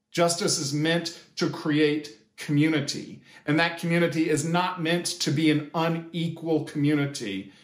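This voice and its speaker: An American pastor in his fifties at a desk in a small echoey room, speaking slowly and deliberately to his congregation through a camera. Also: a little high. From United States. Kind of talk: sermon-like address to camera. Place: small indoor sitting room or office.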